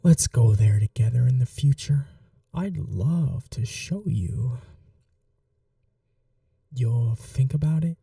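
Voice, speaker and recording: A performer voicing a possessive vampire noble in an ASMR roleplay, speaking softly and slowly with a teasing, condescending cruelty. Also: mid-range voice, no echo, clean studio sound, distant mic. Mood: tender, possessive. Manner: slow, soft, breathy, condescending teasing.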